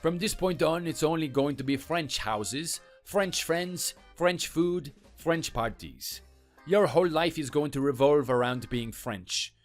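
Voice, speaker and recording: A man voicing a scornful, boastful French mechanic who taunts and belittles in a lower, quieter voice, with faint music behind. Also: a little slow, lightly acted. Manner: taunting, contemptuous.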